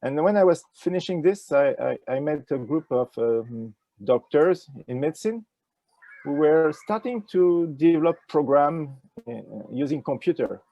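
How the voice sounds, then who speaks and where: An older man with a French accent, answering interview questions in a halting way with many fillers, speaking over a video call with a wired earphone mic. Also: fairly high pitch, lively intonation, mellow, no room sound, muffled recording. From France. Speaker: ecology professor, interview guest. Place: indoors with a virtual nature backdrop.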